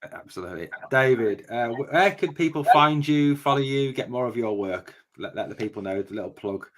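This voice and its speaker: A man hosting a casual fan podcast, speaking haltingly with many fillers and restarts. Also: medium pitch, lively intonation. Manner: halting, lots of fillers and repeated starts. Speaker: podcast host.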